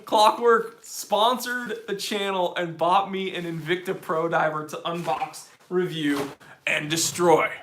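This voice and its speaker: Bright-voiced laid-back male host. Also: high-pitched, steady tone, a little slow, a little hiss or hum. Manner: laid-back, slangy, reads chat aloud. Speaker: host answering live chat questions. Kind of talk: casual live-stream Q&A.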